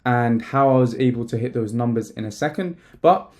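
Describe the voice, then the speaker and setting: A young man from Palestine, talking to the camera in a casual, emphatic vlog style, with slang and a coach's pitch. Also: moderate pace, a little mellow. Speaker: online business coach.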